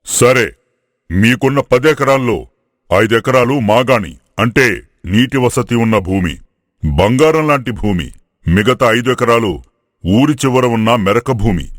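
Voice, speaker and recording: A man playing a grave village elder in a moral story, giving measured counsel. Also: mid-range voice, lively intonation, dry recording, clean studio sound, lightly acted.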